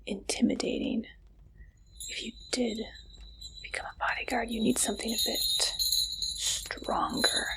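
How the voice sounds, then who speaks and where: A woman performing an ASMR roleplay as an interviewer, speaking directly to the listener in a soft, breathy, playful whisper. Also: a little high, level tone, measured pace, long pauses, low-quality recording.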